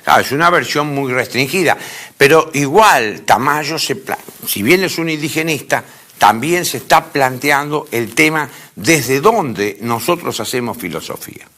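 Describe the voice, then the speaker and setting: A man's voice: medium pitch, lively intonation, measured pace, sharp tone. A man with an Argentine accent giving an informal academic lecture in an emphatic way, repeating phrases for stress. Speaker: lecturer in philosophy.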